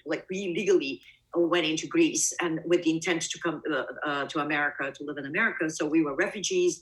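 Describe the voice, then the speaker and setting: Woman from Bulgaria, interview guest, lowish voice, mic at some distance, thoughtful restarts. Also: a bit bright. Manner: reflective, many restarts and "I mean". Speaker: production designer guest. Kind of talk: conversational interview.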